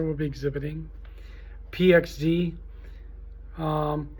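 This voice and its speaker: Higher-voiced man giving a halting sales pitch. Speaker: trade show host promoting his event. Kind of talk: informal unscripted promotional talk to camera.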